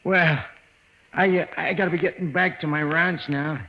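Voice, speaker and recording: A dull, lo-fi old radio drama recording carries an actor as a simple-minded male night watchman. His voice sits fairly high for a man and crackles with fry. He is servile and eager, hesitating and repeating his words as he goes. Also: fairly even intonation, normal speed, lightly acted. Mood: timid, hurt.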